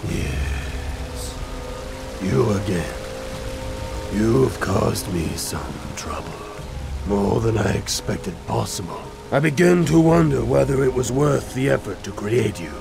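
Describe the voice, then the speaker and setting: An actor playing an ancient, haughty, menacing vampire overlord in a video game cutscene, with gravelly, deliberate speech. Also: male voice, medium pitch, lively intonation, over music. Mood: cold menace.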